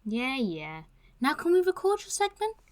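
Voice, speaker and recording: A woman playing a playful, stubborn young podcast host in an audio drama, with a breezy, teasing, expressive delivery. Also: high-pitched, moderate pace.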